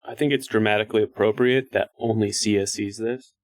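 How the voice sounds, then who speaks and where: A man's voice: fairly low pitch, fairly even intonation, brisk. A man playing a tabletop game, chatting casually and matter-of-factly as he works through rules and numbers.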